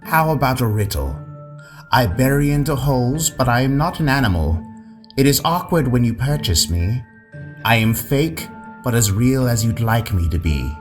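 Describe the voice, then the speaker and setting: A man's voice: mid-range voice, lively intonation, normal speed, pitched above the performer's own voice, close to an everyday voice. A man voicing a sly, fiendish creature that taunts in riddles in a comic story, with music behind. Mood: taunting, sly. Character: fiendish gagging creature posing lewd riddles. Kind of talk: comic audiobook character voice.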